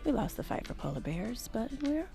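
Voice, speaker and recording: A woman playing a flirtatious, forward hotel guest in an audio drama, with a creaky voice and a teasing, intimate manner. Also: fairly high pitch, very animated, a little fast, warm tone, soft background music. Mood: conversational.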